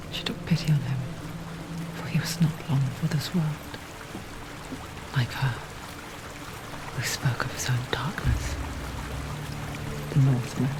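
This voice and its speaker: A woman playing a solemn, intimate narrator in a video game, telling the story in a hushed, breathy voice over background noise. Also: low voice. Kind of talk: scripted dramatic game narration. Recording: clear background ambient noise.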